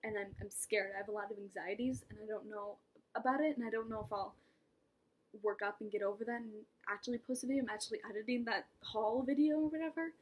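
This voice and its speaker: A young woman with a slightly high, breathy voice chats casually to her camera. She rambles and mocks herself, often dropping into whispered asides between laughs. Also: steady tone, fast talker, poor audio. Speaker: vlogger. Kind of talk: casual vlog monologue.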